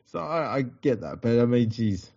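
A man's voice: medium pitch, expressive, normal speed, vocal fry, a little mellow, husky, dull audio. A man with an Australian accent hosting a casual sports podcast, teasing his co-host and reeling off stats. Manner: joking, teasing, reels off stats.

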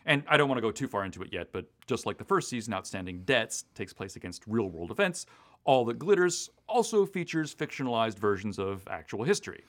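Male writer in interview, East Coast US origin. Very animated intonation, casual, enthusiastic, prone to detailed tangents. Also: lowish voice, a little fast. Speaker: audio drama writer being interviewed. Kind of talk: casual, detailed behind-the-scenes chat.

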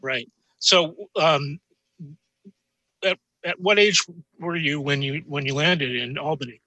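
A male interviewer with a strikingly bright, somewhat high voice and a dry, close sound. He hosts casually, phrasing questions haltingly and adding brief, interested interjections. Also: dry recording, poor audio. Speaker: interview host.

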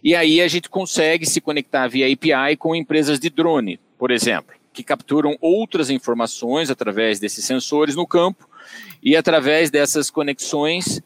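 A middle-aged Brazilian man with a caipira accent from inland São Paulo, speaking in a measured way with many hesitations as a guest in an interview. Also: fairly high pitch. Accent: countryside São Paulo (caipira). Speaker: guest, company director. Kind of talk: conversational interview.

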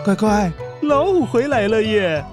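A woman's voice: medium pitch, expressive, a little slow. A woman narrating a children's story expressively, speaking directly to young listeners. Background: faint music.

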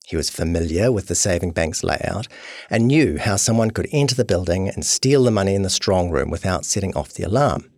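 A man's voice: low-pitched, lively intonation, fairly quick, a little mellow, dry recording, clean studio sound. A man reading a scripted true crime long-read as narrator. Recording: music behind voice. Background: guitar music.

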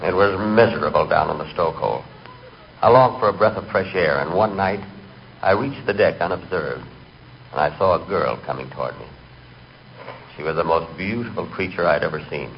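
An actor playing a nervous, hapless middle-aged man who tells his misadventures with deadpan earnestness in a 1940s radio comedy. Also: deep voice, fairly quick, creaky. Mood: storytelling. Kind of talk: 1940s radio comic monologue. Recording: dull, noisy 1940s radio recording.

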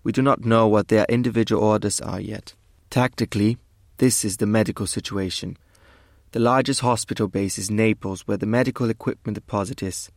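A man playing a German army medical officer of the Second World War, reading his diary in a measured, formal way. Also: lowish voice, steady tone, no room sound, studio quality, close to an everyday voice. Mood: matter-of-fact.

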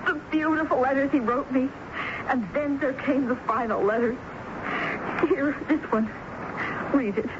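An actress playing a lovelorn, defiant jilted woman confessing in a 1970s radio drama, with halting, dramatic delivery. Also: high voice. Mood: grieving. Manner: dramatic, halting, repeats words for emphasis. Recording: dull, noisy 1970s radio broadcast recording.

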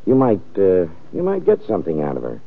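A world-weary, wisecracking police lieutenant in an old radio crime show. His voice is deep and swings widely in pitch, hard-boiled and sardonic, on a boxed-in vintage recording. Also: wildly swooping pitch, brisk, gravelly, breathy edge, heavily acted. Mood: dry, wry. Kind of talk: old-time radio crime drama acting. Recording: muffled, narrow-band old radio recording.

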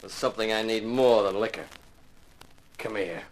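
A man playing an amorous, jealous tough lover in a 1950s radio crime drama, rough-talking and insistent. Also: lowish voice, normal speed, close to an everyday voice. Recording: slightly noisy 1950s radio recording.

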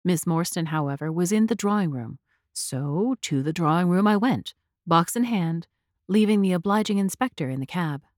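Low-voiced woman narrating a story. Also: darkish tone, no echo, clean studio sound.

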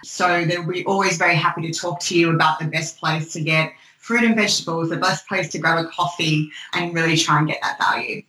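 Low-voiced Australian woman, echoey room. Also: fairly even intonation, fairly quick, hardly any pauses, bright, forceful tone. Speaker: podcast guest. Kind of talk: casual interview chat.

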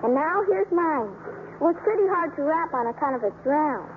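An innocent little orphan girl in a 1950s radio play. She speaks high and earnestly, with artless eagerness. The sound is a muffled old broadcast with faint hiss. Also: lively intonation, moderate pace. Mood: earnest, proud.

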